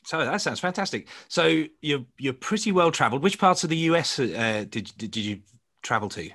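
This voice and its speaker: A man hosting a podcast, chatting casually and warmly with his guest, often restarting his sentences. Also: mid-range voice, lively intonation, moderate pace. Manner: friendly, stumbling restarts. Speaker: podcast host. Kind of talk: casual interview chat.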